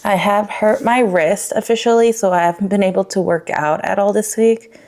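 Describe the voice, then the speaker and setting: A young woman giving a candid personal vlog update, rambling a little with fillers, her voice slightly raspy. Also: medium pitch, normal speed, a little mellow. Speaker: vlogger.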